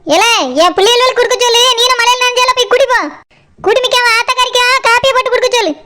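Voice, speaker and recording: An extremely high, squeaky cartoon woman nags and scolds with biting sarcasm. She speaks in a Kanyakumari Tamil village dialect. The track is very noisy, with clicks. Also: racing. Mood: scornful, scolding. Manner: sarcastic, nagging, scornful. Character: scolding, sarcastic village woman, sharp-tongued elder sister and mother. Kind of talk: comedy cartoon dialogue in colloquial dialect.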